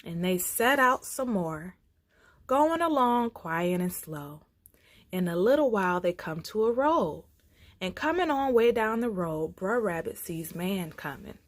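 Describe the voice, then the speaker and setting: Slow, expressive woman storytime reader. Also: mid-range voice, dry recording. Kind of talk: expressive read-aloud of children's folktales.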